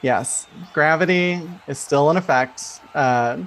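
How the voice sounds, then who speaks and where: A man narrating an improvised tabletop game as game master, in a halting, conversational way. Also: on the high side, a bit bright, poor audio.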